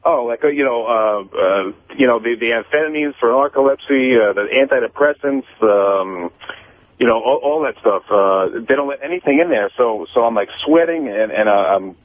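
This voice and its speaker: Halting man on a tinny phone line. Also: medium pitch. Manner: halting, rambling, repeats words and stammers. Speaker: phone-in caller on a radio talk show. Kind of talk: casual confessional chat. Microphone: telephone.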